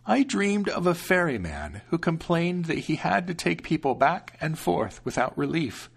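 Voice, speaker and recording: A man voicing a kindly but scolding old grandmother in a high, slow voice. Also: sing-song, vocal fry, husky, heavily acted.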